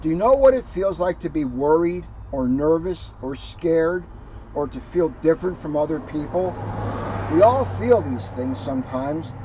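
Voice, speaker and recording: Higher-pitched man reading to children, lo-fi sound. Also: very animated, moderate pace, mellow. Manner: simple, explanatory phrasing aimed at children. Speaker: police officer reading a children's book. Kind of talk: read-aloud to children with explanatory asides. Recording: dull lo-fi sound, faint background noise.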